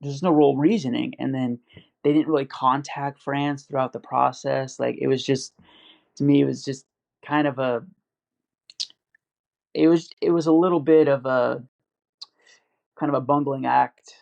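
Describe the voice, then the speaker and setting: A man's voice: medium pitch, fairly even intonation, unhurried, vocal fry, long pauses, a little mellow. A man in casual podcast chat, rambling with lots of fillers and restarts. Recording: dull lo-fi audio.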